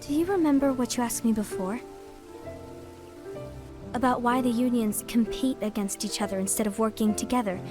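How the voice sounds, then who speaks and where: A gentle, troubled young woman, voiced by a woman in a fan dub, speaking softly and earnestly in a fairly high voice. Music and rain sit behind her. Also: moderate pace, pauses often, close to an everyday voice. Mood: wistful. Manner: soft, earnest, questioning.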